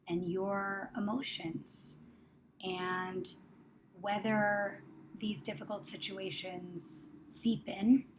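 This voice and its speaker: A woman leading a guided meditation, speaking soothingly and in measured phrases that trail off into a near-whisper. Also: mid-range voice. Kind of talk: guided meditation, spoken to the listener. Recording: clear background ambient noise.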